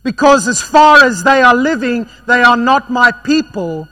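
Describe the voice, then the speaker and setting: A man preaching a sermon, swinging between loud emphatic passages and quiet, low ones. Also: expressive, measured pace.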